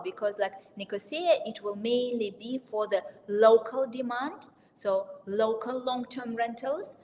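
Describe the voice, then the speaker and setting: Russian-accented woman in a very echoey room. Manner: addresses listeners directly, reads questions aloud then answers. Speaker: real estate consultant hosting a webinar. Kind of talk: informal webinar question-and-answer. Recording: reverberant hall-like room, faint rumbling noise.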